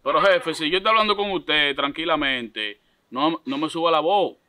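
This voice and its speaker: A man's voice: a little high, moderate pace, pushed and bright. A man in his thirties with a Dominican accent, making an insistent, repetitive, confrontational prank phone call. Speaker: prank caller. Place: outdoors by a block wall.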